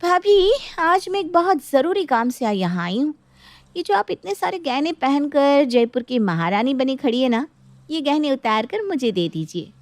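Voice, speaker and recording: Woman doing a greedy bossy little sister in a story cartoon, high pitched, snide n fake polite, dry sound. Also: very animated, moderate pace, dry recording. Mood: haughty, demanding. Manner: taunting, mock-polite, domineering. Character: greedy, bossy younger married sister, taunting her family. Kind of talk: dramatized Hindi moral story acting.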